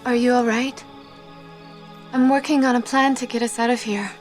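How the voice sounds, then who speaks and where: A woman's voice: on the high side, fairly even intonation, moderate pace, soft background music, close to an everyday voice. An actress playing an earnest, protective young woman in a film drama. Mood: concerned, reassuring.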